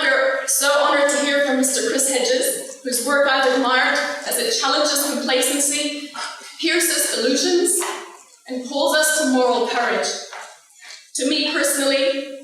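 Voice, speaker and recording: A woman from Palestine gives a prepared speech at a lecture, with a measured and impassioned delivery. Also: high voice, fairly bright tone. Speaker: guest speaker. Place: large lecture hall. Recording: echoey hall recorded from far off.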